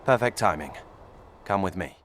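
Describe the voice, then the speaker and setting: A man voicing a brisk, cagey informant in a video game. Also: low voice, expressive, a bit bright, slight background noise. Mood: brisk, impatient.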